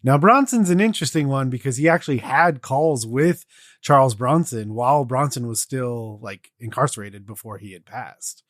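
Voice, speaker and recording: A man's voice: medium pitch, expressive, normal speed, dry recording, clean studio sound. A man co-hosting a podcast, talking in an earnest, loose and meandering conversational way. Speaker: podcast co-host. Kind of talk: casual podcast discussion.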